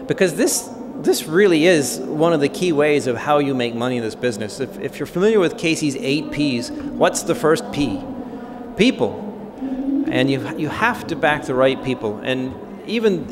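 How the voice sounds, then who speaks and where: Male panel moderator, middle pitch, easygoing and inviting, audible room noise. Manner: conversational, inviting, restarts phrases.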